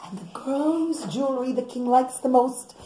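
A woman's voice: sits high, normal speed, mellow. A middle-aged woman telling a story to children, explaining as she goes and acting out what characters think. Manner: explanatory, addresses listeners as children, acts out characters' thoughts. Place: indoors, living or dining room at home. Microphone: camera or phone on the table, about a metre away. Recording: echoey room, background noise, clicks.

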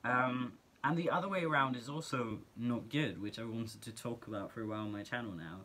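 A man's voice: medium pitch, fairly quick, bright, forceful tone. A teenage guy from Norway with a Norwegian accent, talking earnestly and casually to camera as a vlogger, full of filler words, in a small bedroom. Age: teens.

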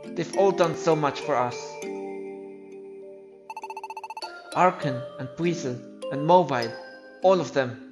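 Male actor for a young fire-lizard creature, video game: high, earnest, halting and emotional, non-native English speaker, over background music. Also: highs cut off, close to an everyday voice. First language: not English.

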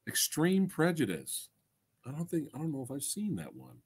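A middle-aged man with a husky voice and a very lively, swinging pitch. He hosts a casual livestream, chatting to viewers in enthusiastic slang peppered with swearing. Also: normal speed. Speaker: livestream host and film reviewer. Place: small indoor room crammed with collectibles. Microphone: desk microphone close in front.

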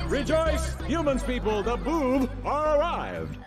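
A man's voice: very high-pitched, huge pitch swings, slow, over music, heavily acted. An actor voicing a pompous, cowardly male alien leader who speaks in broken grammar. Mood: announcing. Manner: boastful announcer tone, sudden squeals. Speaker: alien captain addressing his people. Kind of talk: animated film dialogue.

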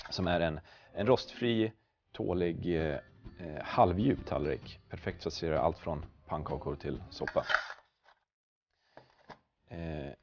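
A young man with a very low voice demonstrates a product, pausing and hesitating as he goes. The recording is dull, with clear background noise and some clicks. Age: young adult. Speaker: product manager presenting. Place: basement prototype workshop, indoors.

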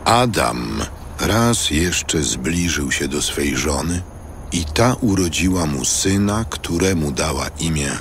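A man narrating scripture as a solemn, measured storyteller in a scripted production. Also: rumbling low, animated, slight background noise. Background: loud music.

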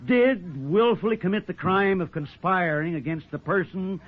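A man's voice: sits high, moderate pace, husky. An actor playing a formal man, declaiming in 1940s radio drama style. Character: formal man reading out a charge. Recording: tinny, scratchy 1940s radio recording.

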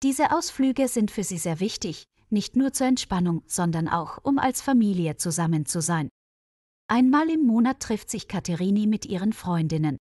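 A woman reading a scripted story for language learners in a careful, clearly phrased way. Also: medium pitch, lively intonation, normal speed, dark tone, no room sound, clean studio sound. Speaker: narrator of a German listening-practice story.

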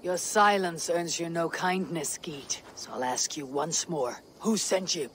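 An actor playing a stern young Viking clan leader in a video game, firm, measured and authoritative. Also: male voice, fairly high pitch, fairly bright tone, slight background noise. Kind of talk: dramatic video game acting.

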